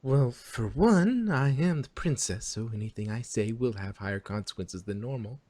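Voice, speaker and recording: A performer reads as a young pony princess in a fanfiction story, weary and skeptical. The delivery is dry and exasperated, with very lively pitch movement and a husky tone. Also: normal speed, close to an everyday voice. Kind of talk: character voice in a fanfiction reading.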